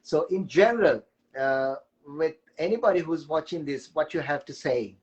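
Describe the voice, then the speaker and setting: A man hosts a relaxed live video chat. He talks haltingly, stumbling over many hesitations as he goes, and drops in light jokes along the way. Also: a little high, dull audio. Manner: halting, many "uh" fillers, jokey. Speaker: host.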